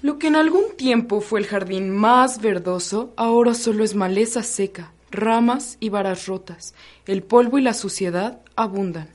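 A woman's voice: medium pitch, normal speed, faint music behind. A young adult woman reading narration for a radio drama.

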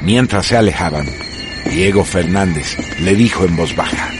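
A man's voice: low voice, moderate pace, noisy background. A man reading scripted dramatic narration for a radio serial in a grave, formal manner.

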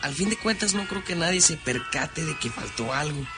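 A man's voice: on the high side, expressive, normal speed, lightly acted. An actor with a Mexican accent playing a greedy, easily frightened man in a horror radio drama. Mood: sly, greedy. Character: greedy, sly man who becomes terrified. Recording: loud music under the voice.

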